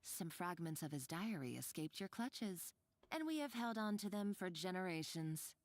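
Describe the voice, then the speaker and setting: A woman's voice: fairly low pitch, animated, moderate pace, no room sound, close to an everyday voice. An actress voicing a bitter, obsessive villainous woman treasure hunter in a video game, theatrical and gloating. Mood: gloating triumph. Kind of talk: scripted video game acting.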